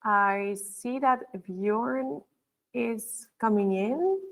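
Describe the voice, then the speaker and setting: A woman's voice: a little high, extremely slow. A woman moderating an online conference session, politely introducing speakers and confirming their screens. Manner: polite, reassuring.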